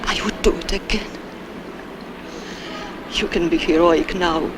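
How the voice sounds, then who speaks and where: A woman's voice: lowish voice, steady tone, unhurried, background noise, lightly acted. A middle-aged actress playing a desperate wife who pleads urgently and defiantly to save her husband. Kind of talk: 1960s TV crime drama acting. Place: outdoors in a city alley.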